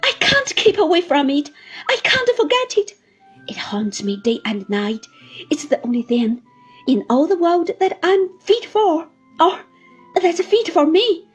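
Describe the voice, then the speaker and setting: A woman's voice: big pitch swings, unhurried, soft background music, full character voice. A woman voicing a despairing young woman who sobs and cries out in anguish by a river. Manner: sobbing, anguished, high and loud.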